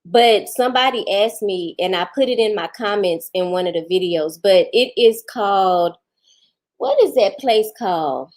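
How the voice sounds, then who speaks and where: A woman's voice: mid-range voice, expressive, moderate pace, a little mellow. A woman, chatting casually with viewers on a live stream, laughing often and talking back to them. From Houston, Texas. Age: 40s. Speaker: vlogger answering live chat.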